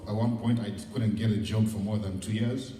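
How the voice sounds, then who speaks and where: A man's voice: fairly low pitch, fairly even intonation, brisk, mellow. A middle-aged man with a Ugandan accent gives an informal motivational talk in a candid, encouraging, conversational way. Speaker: guest speaker at a seminar. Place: large indoor event room. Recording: echoey hall, distant mic, noisy.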